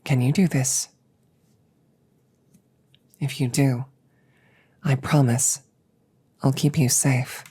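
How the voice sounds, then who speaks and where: A woman voicing a calm, protective female combat android in an intimate audio drama, softly and with measured, formal, soothing phrasing. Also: rumbling low, exaggerated voice. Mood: grave, measured, then reassuring. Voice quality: soft, breathy, often near a whisper. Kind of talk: intimate roleplay audio drama, sleep aid.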